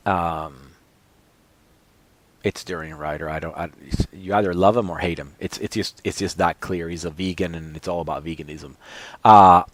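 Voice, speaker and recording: Low-voiced man in casual rambling chat. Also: no room sound. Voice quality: described as boring-sounding. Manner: rambling, frequent fillers and restarts. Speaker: cycling channel host. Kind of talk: casual chat reviewing channels.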